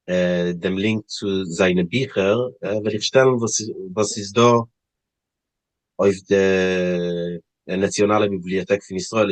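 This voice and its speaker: A man hosting an informal interview, speaking haltingly and searching for words as he puts questions and announcements. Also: low-pitched, no echo, low-quality recording. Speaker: interviewer and event host.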